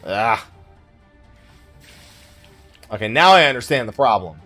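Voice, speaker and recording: A man's voice: mid-range voice, sing-song, unhurried, pushed and bright, faint music behind. A man hosting a gaming let's play, chatting casually in a rambling, joking way with mock exasperation and self-mockery. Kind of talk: casual chatty game commentary.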